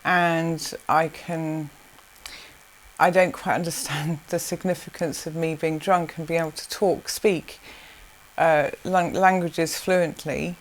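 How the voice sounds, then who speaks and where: A woman from South Africa, answering interview questions in a rambling way with many restarts and soft laughs. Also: deep voice, fairly even intonation, slow pace. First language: Zulu.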